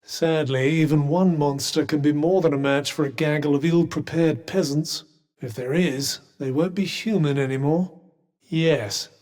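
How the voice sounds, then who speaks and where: A man's voice: fairly high pitch, a little mellow, close to an everyday voice. Man voicing a sardonic, refined British magical cuff. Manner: dry, wry, sarcastic. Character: sardonic, haughty, erudite talking magical cuff. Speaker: voice actor in a fantasy video game. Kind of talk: scripted game companion dialogue.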